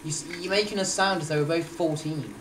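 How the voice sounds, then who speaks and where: A man's voice: fairly high pitch, fast talker. A teenage boy chatting and explaining while playing a game with his girlfriend, in a bossy, correcting way. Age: teens. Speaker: gamer. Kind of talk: casual gameplay chat. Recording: distant, noisy room recording.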